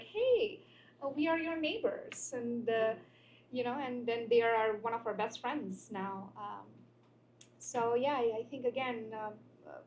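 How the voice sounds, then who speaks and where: A woman sharing her story on a panel, hesitant and full of fillers. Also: on the high side. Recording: dull lo-fi sound with faint noise.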